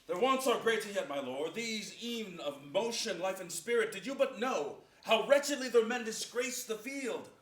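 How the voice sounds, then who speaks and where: A man's voice: sits high, expressive, moderate pace, few pauses. An actor playing a loyal noble lord counselling his king, formal and declamatory, in a Shakespearean stage reading. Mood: mocking. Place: theater stage before an audience, indoors. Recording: echoey theater, distant microphone.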